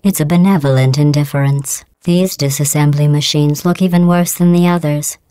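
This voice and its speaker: A low, smooth female machine intelligence, as an actress plays her that sneers through politeness. The voice is cool and condescending, recorded dry and close for a game. Each barb comes out level and unhurried. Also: no echo, lightly acted. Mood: deadpan mocking. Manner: dry, condescending insults delivered calmly. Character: cold sarcastic female AI overseer. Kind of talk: video game voice clips.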